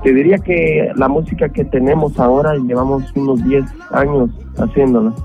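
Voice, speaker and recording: Guatemalan-born musician guest, relaxed chatty delivery, heard over a phone line. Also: male voice, medium pitch, moderate pace, faint music behind. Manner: relaxed, conversational, many fillers. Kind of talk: radio interview.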